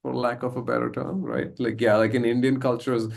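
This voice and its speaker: A man's voice: medium pitch, level tone, a little fast, creaky, darkish tone. A man hosting a podcast interview in a casual, affirming way, with many hesitant fillers. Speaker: podcast host.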